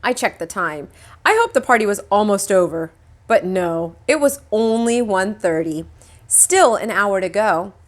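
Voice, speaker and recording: Animated woman reading a children's story. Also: medium pitch, unhurried. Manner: animated, acts out dialogue. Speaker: teacher reading a book aloud to pupils. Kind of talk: expressive children's story read-aloud.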